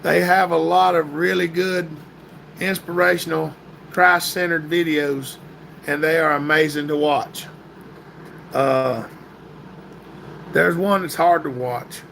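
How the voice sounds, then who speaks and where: Gravelly man, hoarse live-streamer. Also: on the high side, fairly even intonation, takes it slowly, long pauses, a bit bright, a little hiss or hum, rough recording. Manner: folksy, answering viewer comments by name. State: getting hoarse and stuffy. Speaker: livestream host reading chat. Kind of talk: casual live-stream chat. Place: indoor workshop room, sitting at a metal table.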